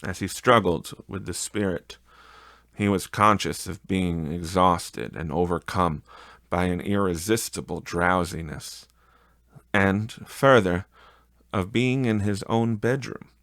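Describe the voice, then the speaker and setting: Guy reading a classic audiobook, slow, creaky voice, dramatic storytelling, really dry sound. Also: low-pitched, a bit bright, no echo. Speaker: audiobook narrator. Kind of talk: scripted literary narration.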